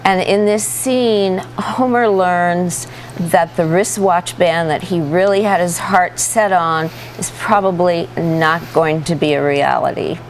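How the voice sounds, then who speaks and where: A woman's voice: on the low side, takes it slowly, poor audio. A woman chatting as an interview guest, agreeable and explanatory in a casual broadcast style. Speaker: interview guest from a community theater group. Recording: studio talk with clear background noise.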